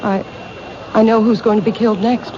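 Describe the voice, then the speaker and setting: A woman's voice: a little high, level tone, a little fast, natural voice. An actress playing a timid, fearful young woman who owns a bookshop, speaking hesitantly and stammering in a 1970s radio drama. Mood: anxious. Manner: hesitant, soft-spoken, stammering. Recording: dull old radio broadcast, noisy.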